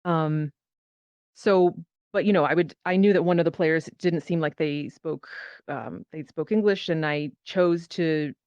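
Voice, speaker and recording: A woman panelist telling personal anecdotes casually, in a halting, rambling way, over online voice chat. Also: low-pitched, a little mellow, smooth, no room sound, dull audio. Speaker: panelist, performer. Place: virtual reality social app session.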